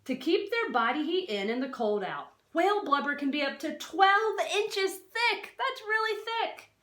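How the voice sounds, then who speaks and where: A woman with a high voice reads to children in a teacherly way, swooping widely in pitch. She poses questions, leans on key words and adds explanatory asides. The recording is noticeably echoey, as in a bare room. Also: unhurried. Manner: expressive, questioning, stresses and draws out key words. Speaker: teacher reading a non-fiction book aloud to children. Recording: roomy, echoey sound.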